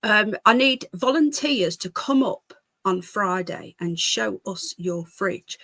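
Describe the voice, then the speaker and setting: A woman's voice: medium pitch, lively intonation, slow pace, vocal fry, sharp tone, no room sound. A British woman with a congested voice hosts a livestream in a casual, rambling way, chatting to viewers and easily drifting into asides. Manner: chatty, easily distracted, jumping between asides. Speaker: livestream host.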